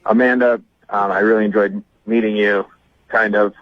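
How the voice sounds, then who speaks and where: A man's voice: fairly low pitch, little pitch movement, moderate pace, dry recording. A man speaking conversationally on a phone call, hesitant and awkwardly polite. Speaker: phone-in guest. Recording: dull lo-fi phone line.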